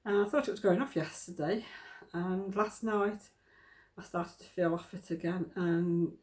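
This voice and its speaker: A woman's voice: on the low side, steady tone, unhurried, a little mellow. A woman, chatting casually to camera in her kitchen, blocked up with a cold. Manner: chatty, homely, plain-spoken. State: congested with a cold. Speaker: vlogger, craft shop owner. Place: home kitchen, indoors.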